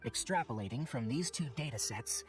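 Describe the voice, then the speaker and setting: A man voicing a young forest ranger scholar in a video game, exacting and testy, with a crisp lecturing manner. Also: mid-range voice, lively intonation, brisk, faint music behind, lightly acted. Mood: focused, hushed. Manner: crisp, lecturing, matter-of-fact.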